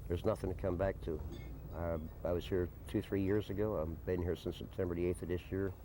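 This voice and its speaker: An American man answering interview questions in a deliberate, plain-spoken way with folksy turns of phrase. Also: deep voice, little pitch movement. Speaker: interviewee, desert camp resident. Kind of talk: unscripted documentary interview answers. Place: informal desert camp on location. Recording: noisy early 1990s field video recording.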